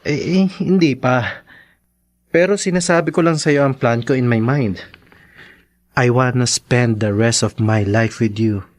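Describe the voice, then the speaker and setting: A man's voice: medium pitch, unhurried, long pauses, lightly acted. A man with a Filipino accent playing a devoted, slightly hesitant young boyfriend in a Tagalog radio drama. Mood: nervous, earnest. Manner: hesitant, stammering.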